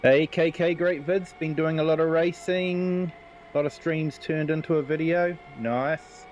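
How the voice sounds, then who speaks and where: A man's voice: fairly high pitch, steady tone, unhurried, darkish tone, a little hiss or hum. A man with a New Zealand accent streaming a farming game, chatting casually and reading out viewer comments. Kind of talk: casual live gameplay commentary.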